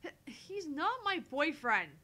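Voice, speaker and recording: A woman's voice: animated, normal speed, a bit bright. A woman voicing a shy, easily embarrassed young woman in a visual novel, defensive and exasperated. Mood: flustered, defensive. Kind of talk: voice-acting visual novel dialogue and inner monologue. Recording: faint background rumble and noise.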